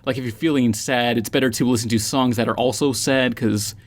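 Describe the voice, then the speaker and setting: A man hosts a podcast in loose, casual chat. His pitch is average for a man. Faint noise and clicks sit behind his voice. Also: fairly even intonation, fairly quick. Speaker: podcast host.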